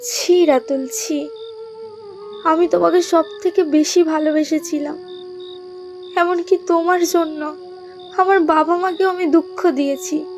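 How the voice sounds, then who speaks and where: A woman's voice: very high-pitched, steady tone, a little slow, long pauses, soft background music. A woman voicing a betrayed, proud young village bride in a horror cartoon, tearful and reproachful. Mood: hurt reproach. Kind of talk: Bengali horror cartoon dialogue.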